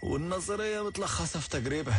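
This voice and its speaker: A man's voice: on the high side, animated, quick pace, slight background noise, lightly acted. An actor playing a cheeky captured scientist who mocks his captors in an Egyptian accent. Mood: mocking. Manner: mocking, defiant, wry. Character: cheeky captured male scientist, defiant and mocking. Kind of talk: radio comedy serial acting. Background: music.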